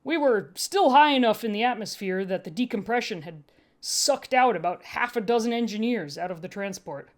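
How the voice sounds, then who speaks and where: A woman reads first-person fiction aloud with a medium-pitched voice. Her delivery is dry and wry, in the manner of an amateur podfic narrator. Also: lively intonation, moderate pace. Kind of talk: first-person story narration with casual ad-libbed asides.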